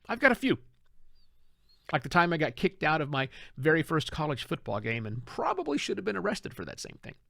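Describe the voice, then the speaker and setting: A man's voice: a little high, a bit bright, dry recording, studio quality. A middle-aged man with an American accent hosting a solo podcast, talking conversationally from an outline with self-deprecating asides.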